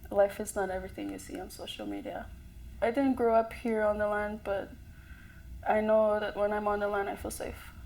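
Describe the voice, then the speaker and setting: A young woman from a Cree community, sharing a personal story in a soft-spoken, thoughtful way with pauses between phrases. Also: medium pitch, little pitch movement, vocal fry, low-quality recording. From Cree community in northern Quebec. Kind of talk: reflective personal testimony.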